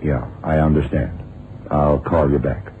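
An actor playing a shrewd old blind private detective in a 1970s radio drama, terse, dry and deliberate. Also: male voice, rumbling low, steady tone. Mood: cool, guarded. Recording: muffled, hissy old radio drama recording.

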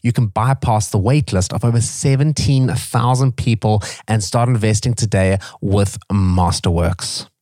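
Low-voiced casual male podcast host. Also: measured pace, hardly any pauses, no room sound, studio quality. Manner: relaxed, filler-heavy, says "like" often.

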